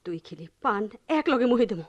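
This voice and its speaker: An actress playing a defiant, playful village wife with a rural Bangladeshi accent in an emotional, singsong radio drama style. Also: female voice, medium pitch, expressive, quick pace, highs cut off, close to an everyday voice. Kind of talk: Bengali radio drama acting.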